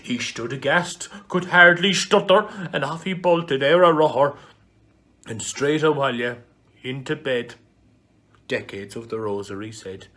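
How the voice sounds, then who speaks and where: A man's voice: medium pitch, unhurried, pushed and bright. A man with an Irish accent reciting a comic rhyming ballad in a mock-dramatic, storytelling manner. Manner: mock-dramatic storytelling with big swings in volume. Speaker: performer reading a piece.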